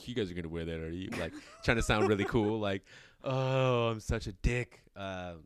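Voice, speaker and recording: A man's voice: fairly low pitch, normal speed, smooth, no room sound, clean studio sound. A man in his thirties co-hosting a casual podcast chat, rambling and restarting his sentences, often laughing. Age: 30s. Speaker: podcast co-host.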